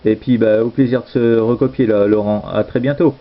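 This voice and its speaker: A man's voice: mid-range voice, steady tone, brisk, dull audio. A man in casual amateur radio chat, speaking informally and hesitantly, often repeating himself. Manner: hesitant, repetitive, informal phrasing.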